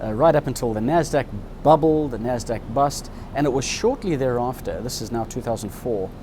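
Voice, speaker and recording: A candid man in his fifties recounts his past in a thoughtful, earnest way. His voice sits at an ordinary middle pitch. A steady rumble of outdoor traffic hums clearly behind him. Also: moderate pace. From Zimbabwe. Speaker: interviewee, subscriber. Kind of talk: personal storytelling in an interview.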